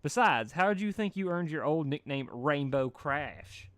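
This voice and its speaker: A man's voice: on the high side, lively intonation, unhurried, a bit bright, smooth, no echo, voice pushed up. A man voicing a confident, unfazed female griffin with a cool, teasing manner.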